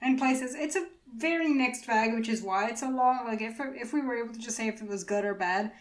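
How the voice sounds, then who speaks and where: Female podcast co-host, mid twenties, fairly high voice, relaxed conversational chat. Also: a little fast. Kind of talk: casual chat.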